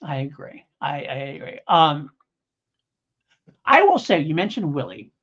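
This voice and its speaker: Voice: medium pitch, sing-song, unhurried, fairly bright tone, slightly rough. A podcast co-host in a casual review discussion, talking in a hedging, rambling way and thinking aloud. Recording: dull lo-fi audio.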